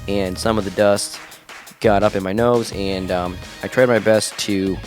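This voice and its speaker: A man's voice: fairly low pitch, steady tone, a little slow, darkish tone, faint music behind. A man hosting his own podcast, chatting casually with a nasal, stuffed-up sound and lots of fillers. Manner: chatty, enthusiastic, many fillers. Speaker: podcast host. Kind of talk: casual solo podcast talk. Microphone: home podcast mic through a mixer.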